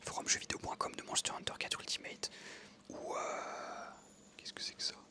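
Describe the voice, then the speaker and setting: A young adult ASMR creator, telling a story in a soft unvoiced whisper that meanders with many fillers. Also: moderate pace.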